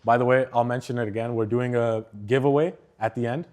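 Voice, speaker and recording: A man's voice: medium pitch, fairly even intonation, brisk, a little mellow. A man hosting a university event, introducing the guest on stage with some hesitant fillers before his first question. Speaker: event host.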